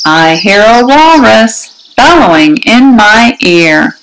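A woman reading aloud to young children as a storyteller, in an expressive, singsong and emphatic manner. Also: a little high, a little hiss or hum, rough recording. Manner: singsong, deliberate, emphatic delivery. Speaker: children's read-aloud host. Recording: music behind the voice. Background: music.

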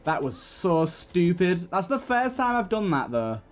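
A man's voice: sits high, sing-song, measured pace. A man giving casual video game commentary, thinking aloud and often repeating himself. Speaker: let's play host. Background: game music.

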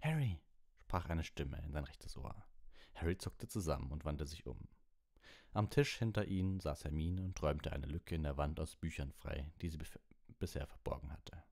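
A man's voice: rumbling low, moderate pace, creaky, a little mellow. A man reading a story aloud as an audiobook narrator.

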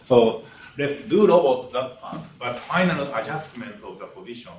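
An older man giving an engineering lecture in English with non-native phrasing, speaking deliberately and stressing key words. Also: mid-range voice, expressive. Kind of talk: academic lecture. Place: lecture room. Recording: roomy, distant lecture hall capture with faint room noise.

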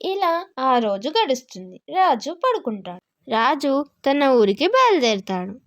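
A woman's voice: high-pitched, very animated, measured pace. A woman narrating a scripted moral story for children.

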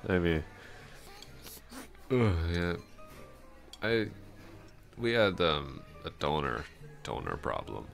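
Male actor as tipsy male office coworker for ASMR roleplay: extremely low voice, slow, rambling, slurred and awkwardly friendly. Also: very animated, vocal fry, a bit bright, soft background music, exaggerated voice. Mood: drunk, rambling. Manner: slurred, halting, repetitive drunken rambling. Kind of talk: intimate ASMR roleplay, one-sided conversation.

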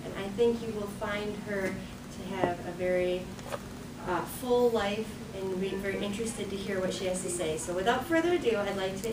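A woman introducing the next speaker at a club meeting in a meeting room, brisk and cordial. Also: medium pitch. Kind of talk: formal introduction. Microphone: far camera. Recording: distant, echoey and noisy.

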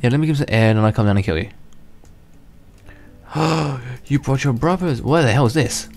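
A man's voice: medium pitch, normal speed, creaky, darkish tone. A young man giving casual, rambling commentary while playing a game, restarting his sentences and chuckling to himself, his voice a little worn from being unwell. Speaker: gaming channel host. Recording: faint background music under voice.

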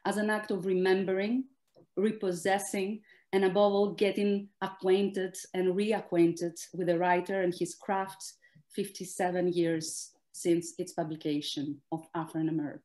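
A woman whose first language is Greek delivers a prepared academic lecture. Her manner is measured and formal, and she pauses often on small filler sounds. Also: on the low side, fairly even intonation, darkish tone. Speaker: academic speaker.

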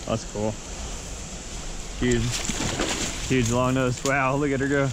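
A man's voice: mid-range voice, steady tone, slow. Man fishing outdoors, wind noise. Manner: talks to camera while fishing, matter-of-fact. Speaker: fishing vlogger. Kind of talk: casual outdoor vlog narration. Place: outdoors on a riverbank below a dam. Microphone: action camera, chest or head mounted. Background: wind and water.

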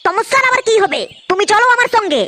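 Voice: very high-pitched, animated, fast, very noisy. An actor voicing a brash, hot-headed young village lad in a comedy cartoon, argumentative, with a processed cartoon voice. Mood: insistent. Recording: loud music behind voice.

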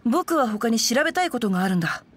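A woman's voice: a little high, sing-song, fast talker, fairly bright tone. A woman voicing a refined, chivalrous teenage boy swordsman in a Japanese game dub, polite and composed. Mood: composed, earnest. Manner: polite, composed, literary phrasing. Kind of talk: Japanese anime-style game dub acting.

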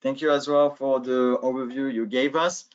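A man's voice: mid-range voice, fairly even intonation, normal speed, clear, resonant tone. A man in his thirties with a French accent, giving a webinar presentation on a video call through a headset, with many filler sounds. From France. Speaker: guest speaker, sales manager. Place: indoor office, video call. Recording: dull lo-fi video call audio.

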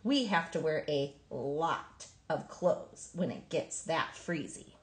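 A woman reading a children's picture book aloud in an expressive, emphatic storytelling manner. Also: deep voice, slow, reverberant. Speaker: storybook reader.

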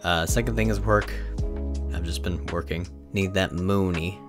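Very low-voiced sick man chatting. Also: steady tone, a little slow. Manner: apologetic, low-key. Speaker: video creator. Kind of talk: casual outro chat. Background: music.

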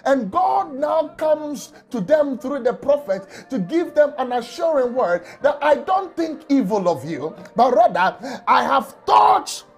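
A man preaching an emotional sermon from a small office on a lapel mic, often shouting and crying out. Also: very high voice, creaky. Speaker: pastor.

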